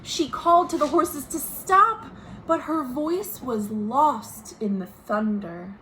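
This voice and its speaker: A woman reading a picture book aloud to children as a storytime host, expressive, pausing to speak to her young listeners. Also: sits high. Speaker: children's librarian hosting virtual storytime. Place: at home. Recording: noisy home recording.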